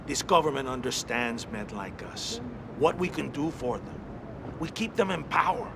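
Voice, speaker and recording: An actor playing a proud, vengeful Cuban mafioso in a video game, taunting in Spanish-accented English. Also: male voice, medium pitch, animated, normal speed, creaky, background noise. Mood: taunting menace. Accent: Spanish-speaking Cuban. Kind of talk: video game cutscene acting.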